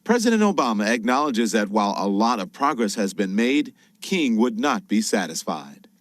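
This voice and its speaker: A male news anchor reads a report with lively, rising and falling pitch and crisp emphasis. His voice sits in a middle register. The studio sound is close and dry. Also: moderate pace, no room sound. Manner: crisp, emphatic newsreader delivery. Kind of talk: broadcast news narration.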